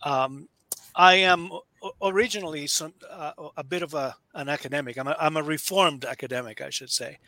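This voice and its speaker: A man's voice: fairly high pitch, brisk, pushed and bright, dry recording. A man in his fifties from Nicaragua, talking as a guest author in a casual interview, hesitant with many fillers and restarts.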